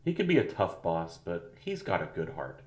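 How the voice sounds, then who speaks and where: A man's voice: deep voice, huge pitch swings, darkish tone. A man playing a soothing school head. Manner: soothing, paternal, measured. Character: middle-aged male school head, falsely kindly. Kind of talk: audio drama acting. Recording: echoey room.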